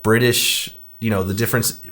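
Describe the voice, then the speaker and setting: A man's voice: on the low side, fairly even intonation, moderate pace, fairly bright tone. Conversational man discussing movies. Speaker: podcast host. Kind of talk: casual film discussion.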